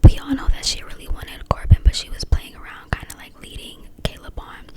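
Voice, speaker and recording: A woman speaking in a breathy whisper, giving casual, opinionated commentary in slangy, informal phrasing, in an ASMR-like style. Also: brisk. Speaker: vlogger reviewing a reality dating show.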